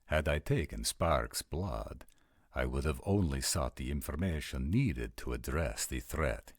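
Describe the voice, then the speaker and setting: A man playing a proud, stern male vampire prince with a formal, measured manner in a roleplay audio drama. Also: very deep voice, lively intonation, no room sound, studio quality, full character voice.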